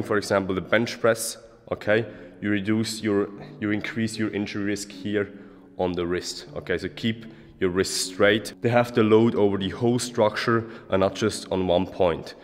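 A man's voice: on the low side, fairly even intonation, normal speed. A young man, a German-speaking fitness coach from Switzerland, earnestly explaining gym tips to a handheld camera at arm's length in a large indoor gym. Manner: earnest, explanatory, adds okay often. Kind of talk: casual vlog advice. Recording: echoey gym hall with music.

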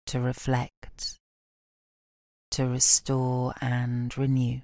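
A British woman leading a guided relaxation meditation in a calm, soothing, soft voice, with gentle instructions and long pauses. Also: rumbling low, creaky, no room sound, clean studio sound. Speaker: yoga nidra meditation guide.

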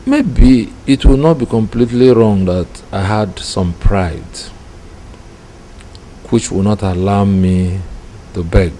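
Middle-aged male preacher, Nigerian accent, low voice, measured testimony, noisy room recording. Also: warm tone. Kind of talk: personal testimony in an interview. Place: indoor room with curtains. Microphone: microphone close in front. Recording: clear background noise.

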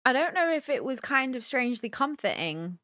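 A woman's voice: high voice, very animated, fairly quick, bright, forceful tone, no room sound. A young adult woman giving casual playthrough commentary into a close desk mic, thinking aloud and reacting as she plays. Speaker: gaming video host. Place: small room at home, indoors. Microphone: close desk microphone on an arm.

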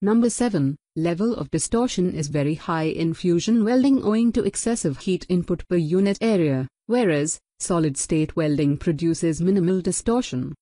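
A woman narrating a technical tutorial over slides, reading a numbered list in an even, measured way. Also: lowish voice, dry recording.

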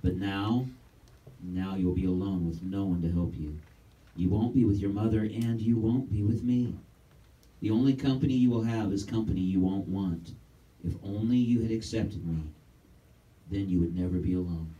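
An actor on a church stage playing a calm, compassionate savior who speaks earnestly. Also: male voice, deep voice, dull audio, lightly acted. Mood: sorrowful. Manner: gentle, earnest, measured. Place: church stage set, indoors. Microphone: distant camera mic. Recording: distant stage voice.

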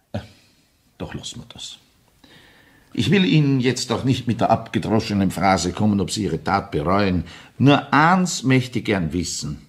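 Stern low-voiced Austrian inspector. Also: male voice. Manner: formal, reproachful. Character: stern, appalled police inspector interrogating a killer. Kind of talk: German crime radio drama acting.

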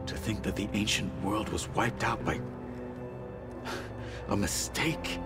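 Deep, solemn, proud warrior man. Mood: grave. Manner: formal, stoic, deliberate. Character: solemn, proud, honour-bound male warrior. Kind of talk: video game dialogue acting. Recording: prominent music behind the voice.

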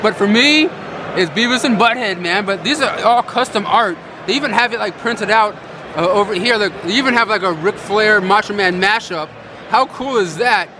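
High-voiced excitable man vlogging a convention. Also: normal speed, crowd noise, background noise. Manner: excited, chatty, lots of "like" and "you know". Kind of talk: casual convention vlog. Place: large convention hall, indoors.

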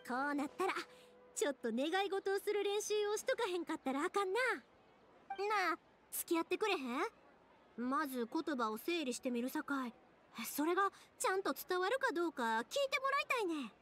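A woman voicing a cheerful, warm-hearted young woman in an anime game, with a Kansai accent and a bubbly, expressive delivery. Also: very high-pitched, moderate pace, a little hiss or hum, exaggerated voice. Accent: Kansai Japanese.

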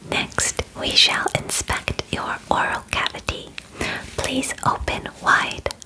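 Woman as alien examiner, soft whisper, extremely unhurried, clinical, formal, methodical, close-up ASMR roleplay. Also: lightly acted, poor audio. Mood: calm, clinical. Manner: slow, formal, gently methodical, precise wording. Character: calm clinical alien examiner inspecting a human. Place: small bedroom, indoors. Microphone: close microphone in front of camera.

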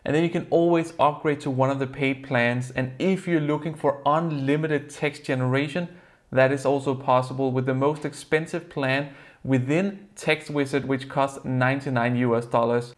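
A young man with a Danish accent, a native Danish speaker, earnestly explaining a software review to camera from a desk in a plain room. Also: medium pitch. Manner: earnest, explanatory, slightly non-native phrasing.

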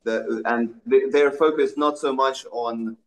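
A man's voice: mid-range voice, steady tone, measured pace. A young man from Russia on a video call from a small indoor room, answering questions earnestly with frequent restarts and fillers. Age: young adult. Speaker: interviewed activist. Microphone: laptop webcam on a video call.

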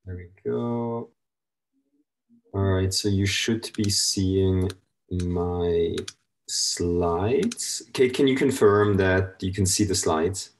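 A middle-aged man with a Swiss accent hosts an online presentation from a room at home, talking over a video call. His voice sits on the low side, and he keeps an upbeat, casual pace with plenty of fillers. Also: extremely slow, slight background noise. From Switzerland. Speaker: webinar presenter.

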